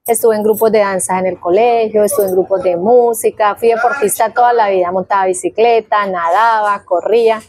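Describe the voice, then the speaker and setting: A woman's voice: medium pitch, steady tone, fairly quick, few pauses, background noise. A woman in her fifties from Guainía with a Colombian accent, casually recalling her life in an interview, telling it vividly with diminutives and sound words. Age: 50s. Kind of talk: casual personal recollection.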